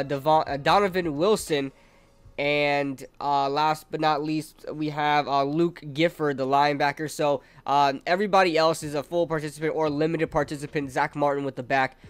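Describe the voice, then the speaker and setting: Chatty young man, stuffy from a cold. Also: a little high. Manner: chatty, fan-like, frequent fillers. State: congested from a cold. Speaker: sports channel host. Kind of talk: casual football preview talk to camera.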